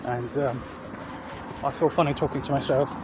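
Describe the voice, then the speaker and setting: A man's voice: mid-range voice, steady tone, moderate pace. A man with a southeast English accent chatting casually in a rambling, hesitant way as he hosts a vlog on foot. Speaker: vlog host. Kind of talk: casual chat to camera while walking. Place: outdoors walking along roads. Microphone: handheld external microphone. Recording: muffled outdoor street audio, loud traffic noise.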